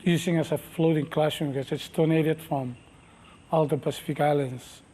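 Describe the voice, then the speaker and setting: A middle-aged man from Micronesia, whose first language is Micronesian, answering interview questions slowly and thoughtfully, with many pauses and fillers. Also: fairly high pitch, fairly even intonation, dark tone, slight background noise. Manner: slow, thoughtful, halting speech with many fillers. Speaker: master navigator and canoe captain.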